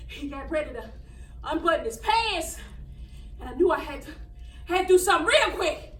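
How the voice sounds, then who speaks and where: Woman acting very high-voiced frightened country girl, echoey. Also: lively intonation. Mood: tense, defiant. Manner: halting, repeating words, highly emotional storytelling. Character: frightened young country girl recounting an attack, haunted, defiant. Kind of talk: dramatic stage monologue. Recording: echoey room, distant mic, faint noise.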